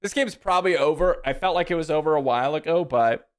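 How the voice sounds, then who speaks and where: A man's voice: a little high, fast talker. A man in his thirties streaming a card game, casually chatting with viewers and thinking aloud about his plays. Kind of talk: casual live-stream chat while playing.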